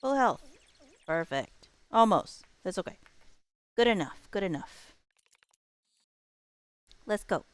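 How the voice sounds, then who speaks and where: A woman streamer gives casual commentary while she plays, thinking out loud. Her delivery is unhurried, with long easy phrasing, yet her pitch jumps around freely. The sound is close and dry, with no room on it. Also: very animated, smooth, no room sound. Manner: talks to herself and to the game, thinking aloud. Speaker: game streamer. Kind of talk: casual live gameplay commentary.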